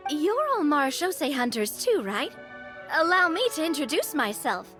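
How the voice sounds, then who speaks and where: A woman's voice: high voice, very animated, normal speed, sharp tone, soft background music, heavily acted. A woman playing a cheerful, welcoming young businesswoman and monster hunter in a video game, upbeat and encouraging. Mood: friendly.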